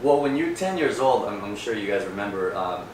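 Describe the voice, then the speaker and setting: Young guy from peru, deep voice, earnest storytelling, far off mic with noise. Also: sing-song, fairly quick. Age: young adult. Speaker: guest, real estate agent. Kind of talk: casual interview. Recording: echoey room, far from mic, noisy.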